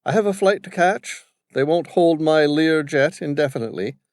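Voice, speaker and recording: A man voicing an aloof older upper-class gentleman with an upper-class British accent, polite and superior. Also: a little high, moderate pace, no room sound, studio quality, pitched above the performer's own voice, close to an everyday voice. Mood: courteous.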